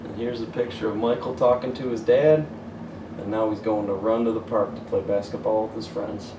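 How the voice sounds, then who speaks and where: A man reading a children's picture book aloud as a coach would, pausing to point out the pictures. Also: fairly low pitch, steady tone. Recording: roomy, distant mic, faint hiss.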